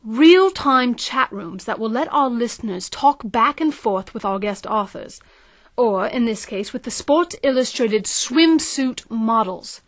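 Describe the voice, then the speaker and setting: A scheming, ambitious businesswoman played by an actress in a satirical audio drama. Her voice sits fairly high, and she is self-assured and coaxing as she pitches and steers. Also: animated, measured pace. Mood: smug, pitching.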